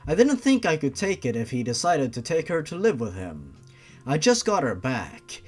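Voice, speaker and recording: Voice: medium pitch, a little mellow. A narrator reading fan fiction aloud in a theatrical, irreverent style. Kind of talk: dramatic fan fiction reading with mocking asides.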